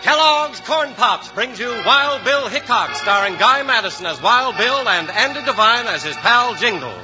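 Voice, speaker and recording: A man's voice: extremely high pitch, animated, moderate pace. A man giving bold, dramatic scripted narration as the announcer of an old Western radio show. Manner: bold, declamatory. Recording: dull old radio recording, music behind.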